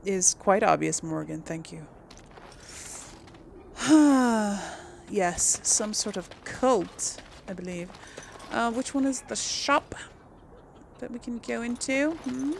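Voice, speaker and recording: A woman's voice: mid-range voice, lively intonation, slow, creaky, long pauses, a little hiss or hum. A woman doing casual let's play commentary, chatting and musing aloud as she plays. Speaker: game streamer, role-player. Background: game ambience.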